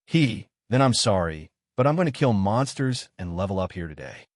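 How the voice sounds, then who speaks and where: A man's voice: lowish voice, big pitch swings, normal speed, no echo, clean studio sound. A man voicing a brash, cocky young male fantasy hero in an audiobook, exclaiming and scoffing as he talks. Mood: cocky, grumbling. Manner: exclamatory, scoffing, self-interested.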